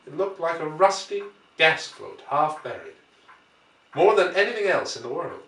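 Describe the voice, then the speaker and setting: Bright-voiced man narrating in a roomy space. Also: on the high side. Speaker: audiobook reader. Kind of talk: dramatic literary narration. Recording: roomy, distant microphone.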